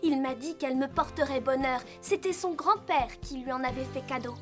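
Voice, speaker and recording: A woman voicing a gentle, sentimental teenage girl who explains things softly and earnestly in a dubbed cartoon. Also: sits high, fairly quick, soft background music, natural voice. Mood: fond. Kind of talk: French cartoon dub.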